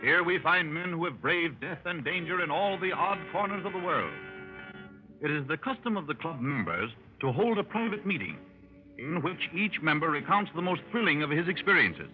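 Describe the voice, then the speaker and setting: An actor playing a formal, grandly inviting announcer in the style of 1930s radio. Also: male voice, fairly high pitch, sing-song, moderate pace. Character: formal announcer of an adventure club radio show. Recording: muffled 1930s radio broadcast, music behind.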